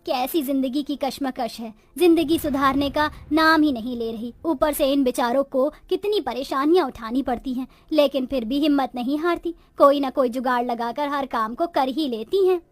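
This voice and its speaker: A woman acting a high-pitched cartoon village housewife. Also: moderate pace, hardly any pauses, dry recording, soft background music, close to an everyday voice. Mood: weary. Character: village women of a poor family, resourceful daughters-in-law and their mother-in-law. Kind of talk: Hindi cartoon moral-story dialogue.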